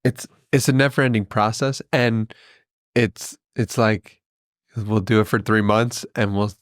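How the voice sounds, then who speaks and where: Casting: man, lowish voice, thoughtful and affirming, dry close sound. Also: steady tone, unhurried, no room sound. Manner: thoughtful, affirming, laughs easily. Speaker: podcast guest. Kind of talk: casual spiritual conversation.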